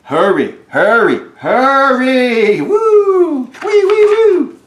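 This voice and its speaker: Alarmed storybook rescuers, sailors and firemen, as a male reader puts them on. The cries are pushed well up in pitch, loud and exclamatory. The sound is roomy and echoing. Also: sing-song, drawn-out words, full character voice. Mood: frantic urgency. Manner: high, loud, exclamatory calls.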